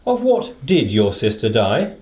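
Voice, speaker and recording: A man playing an incisive, authoritative gentleman detective in a radio play, precise, formal and dry. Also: medium pitch, wildly swooping pitch, measured pace, reverberant. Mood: stern, probing.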